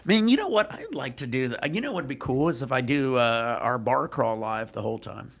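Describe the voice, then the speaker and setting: A gravelly, husky man with a clogged, stuffy nose talks to his live viewers from a cruise ship deck at night. His voice swings up and down as he chats in a loose, profane, joking way. It is picked up on a handheld microphone. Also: medium pitch, lively intonation, fairly quick, fairly bright tone. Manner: laid-back, profane, laughing often. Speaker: livestreamer. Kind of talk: casual live stream chat.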